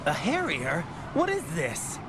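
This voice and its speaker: A doubtful young soldier in a dramatic video game. His fairly high voice swings widely as he earnestly questions things. There is clear background noise. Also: wildly swooping pitch, slow pace, full character voice. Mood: alarmed, doubtful. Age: young adult. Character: anxious young male special-forces soldier, questioning and doubtful.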